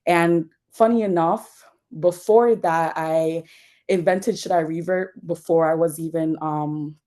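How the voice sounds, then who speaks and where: A woman's voice: sits low, fairly even intonation, slow, mellow. A young woman from Jamaica, a nonprofit founder, telling her story in a casual interview with many fillers and restarts.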